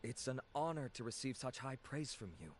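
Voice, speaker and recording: A man's voice: mid-range voice, expressive, a little fast, natural voice. A man voicing a courteous, earnest cosmetics researcher in an English video game dub, speaking politely and formally.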